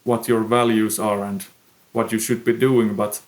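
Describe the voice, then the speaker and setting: A young man with a Finnish accent, a musician answering interview questions in a thoughtful, pausing way. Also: fairly low pitch, level tone. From Finland. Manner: slow, thoughtful, pausing often. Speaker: musician guest. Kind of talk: podcast interview.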